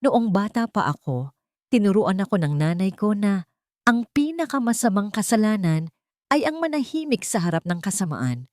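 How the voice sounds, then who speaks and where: A woman's voice: mid-range voice, big pitch swings, normal speed, darkish tone, no room sound, clean studio sound, pitched above the performer's own voice, lightly acted. A woman voicing a firm, grave elderly woman determined to bear witness in an audio drama. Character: resolute elderly female neighbour standing up as witness.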